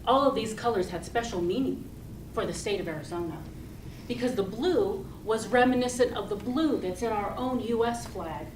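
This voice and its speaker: A woman giving a rehearsed storytelling speech to an audience, speaking deliberately and expressively with pauses. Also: on the high side. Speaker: club speaker giving a tall tale speech. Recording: roomy, distant mic with loud noise.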